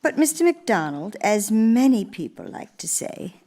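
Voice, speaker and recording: A woman's voice: medium pitch, very animated, warm tone. Woman playing an elderly, genteel stateswoman, expressively lilting. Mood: gracious, earnest. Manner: measured, genteel, deliberate storytelling. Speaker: stage actress. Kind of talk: theatrical one-woman monologue. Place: live radio theater performance in a church.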